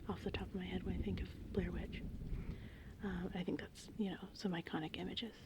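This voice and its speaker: Whispering woman, soft mellow ASMR voice. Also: on the low side. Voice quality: breathy whisper and hushed soft speech. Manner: informal, rambling, conversational retelling. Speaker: ASMR host. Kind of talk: ASMR, whispered rambling film recap. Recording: faint background noise, rain and thunder ambience. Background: gentle rain and thunderstorm ambience.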